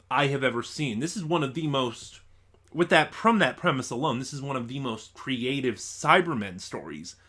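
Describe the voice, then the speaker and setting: Man giving a halting, casual review. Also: medium pitch, fairly bright tone. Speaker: reviewer, channel host. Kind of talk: casual spoken audio drama review.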